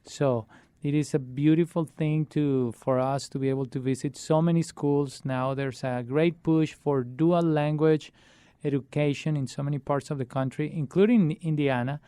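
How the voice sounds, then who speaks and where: A man's voice: fairly high pitch, steady tone, dark tone, clean tone, dry recording. A man, a children's musician being interviewed, talking earnestly and often restarting his sentences. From Colombia. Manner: earnest, halting with many restarts. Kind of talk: casual interview talk.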